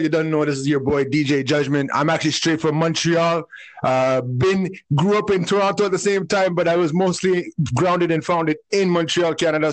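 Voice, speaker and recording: A young man from the Bahamas, a DJ, chatting on a casual call-in podcast. His voice sits fairly high for a man, and he talks in a hyped, slangy way, full of shout-outs and street talk. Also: poor audio. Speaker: DJ and carnival spokesperson guest.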